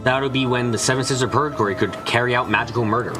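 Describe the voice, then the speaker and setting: A man's voice: mid-range voice, fairly even intonation, very fast, over music, close to an everyday voice. A man voicing a brooding young woman who reasons through witches' magic in an introspective visual novel monologue. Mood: brooding, realizing.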